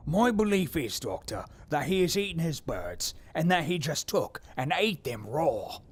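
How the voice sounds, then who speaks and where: Husky, high-pitched asylum attendant. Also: male voice, very animated, unhurried, heavily acted. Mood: earnest report. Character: plain-spoken male asylum attendant, earnest servant. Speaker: actor in an audio drama.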